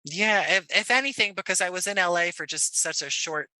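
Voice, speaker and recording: An American man talking conversationally as an interview guest, choosing his words in an articulate, measured way. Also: high-pitched, sharp tone, no room sound. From Jersey City, United States. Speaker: podcast guest, audio play creator.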